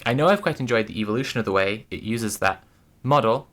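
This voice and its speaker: A woman's voice: very deep voice, animated, fast talker. A woman streaming, talking casually and thoughtfully to her chat, with many restarts and fillers.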